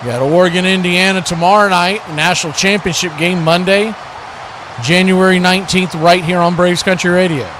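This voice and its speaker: Male football play-by-play host, high voice, live stream with clear background noise. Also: steady tone, normal speed. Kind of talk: live American football commentary.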